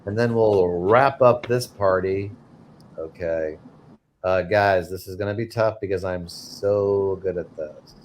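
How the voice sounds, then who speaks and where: A man with a fairly low voice, trading casual banter in a party game. He teases playfully over a faintly noisy recording. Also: measured pace. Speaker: panel game player.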